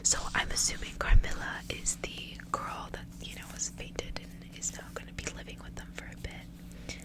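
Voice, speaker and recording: A woman whispering close to the mic in a breathy, unvoiced ASMR style, unhurried and informal as a host. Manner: casual, rambling, frequent filler "like". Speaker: ASMR host reading a book aloud. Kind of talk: whispered ASMR reading and casual chat. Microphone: close ASMR microphone.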